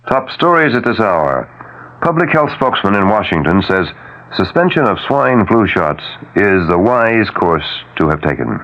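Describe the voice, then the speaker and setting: Deep-voiced American radio newsman, lively intonation. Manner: formal, emphatic broadcast delivery. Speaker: radio newscaster. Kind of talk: 1970s radio news bulletin and station announcement. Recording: dull lo-fi 1970s radio broadcast.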